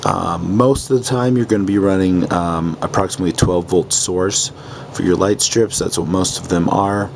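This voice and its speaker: A hoarse man casually demonstrating a light controller, rambling with many ums and sounding enthusiastic. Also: sits low, fairly even intonation, moderate pace, slight background noise. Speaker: product demonstrator. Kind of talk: casual product demo.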